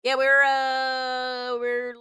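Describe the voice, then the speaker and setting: A woman's voice: sits high, completely flat delivery, very slow, bright, forceful tone, clean tone, dry recording, studio quality. A woman livestreamer giving casual, playful and reactive let's-play commentary while chatting with her viewers. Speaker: livestreamer playing a visual novel.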